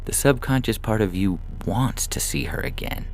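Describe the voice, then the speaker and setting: A man voicing a calm, professional male medical technician in an audio short story, explaining procedures in a measured, reassuring way. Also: lowish voice, creaky, slight background noise, pitched above the performer's own voice, poor audio. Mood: gentle, guiding. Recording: music bed under the reading.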